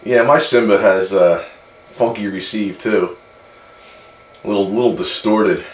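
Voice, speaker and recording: A man with a low voice chats casually as a CB radio hobbyist. The recording is noisy and has a clear roomy echo around him. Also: measured pace. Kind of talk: casual two-way radio chat.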